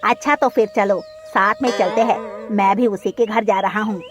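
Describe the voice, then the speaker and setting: Lady doing a friendly pigeon guy for a cartoon, bit lower than her narrating, music under. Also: steady tone, moderate pace, natural voice. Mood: sociable. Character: friendly male pigeon, sociable and easygoing. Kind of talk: cartoon story dialogue.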